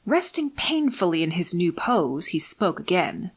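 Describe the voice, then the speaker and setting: A woman narrating a fiction audiobook in a measured, deliberate, scripted reading. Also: on the low side, big pitch swings, husky.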